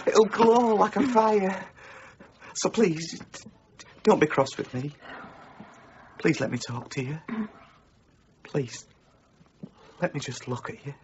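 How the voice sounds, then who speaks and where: A man's voice: fairly high pitch, takes it slowly, pauses often, slight background noise. A man playing a shy, lovesick young village blacksmith who pleads earnestly with the girl he loves in a radio drama. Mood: pleading. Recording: dull lo-fi 1990s broadcast.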